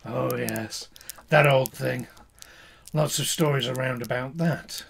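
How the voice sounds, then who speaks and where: A man's voice: medium pitch, takes it slowly, creaky, slightly rough, exaggerated voice. An actor playing a polite but evasive male archaeologist in an audio drama. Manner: polite, hesitant, faintly evasive. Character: genial middle-aged male archaeologist, evasive. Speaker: academic.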